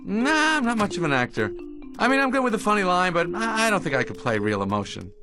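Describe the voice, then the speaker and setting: A man's voice: high-pitched, very animated, fast talker, music in the background. An actor playing an earnest, plucky young male bee in a cartoon game, with a casual, wisecracking, self-deprecating manner. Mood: casual, wry.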